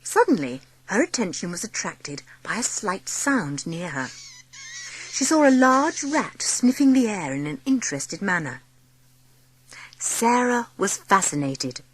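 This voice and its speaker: A woman narrating a dramatised children's story as an expressive audiobook storyteller. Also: medium pitch, a little slow.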